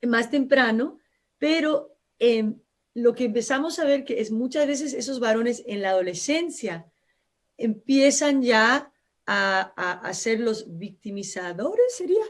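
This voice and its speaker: A woman's voice: on the high side, animated, unhurried. Earnest Brazilian woman explaining on radio. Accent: Brazilian Portuguese. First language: Portuguese. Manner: earnest, explanatory, with frequent restarts and fillers. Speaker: guest expert. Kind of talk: live radio interview.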